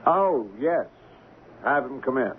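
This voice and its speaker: Dismissive company boss; mid-pitched, measured, genteel; vintage radio drama with thin, phone-like sound. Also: male voice, lively intonation. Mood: smug, cordial. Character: smooth, self-assured shipping company boss, dismissive. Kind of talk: old radio drama acting. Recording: tinny old radio recording, faint noise.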